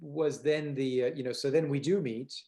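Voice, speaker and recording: Halting, conversational male podcast host. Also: mid-range voice, fairly even intonation, warm tone. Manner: halting, searching for words, circling back.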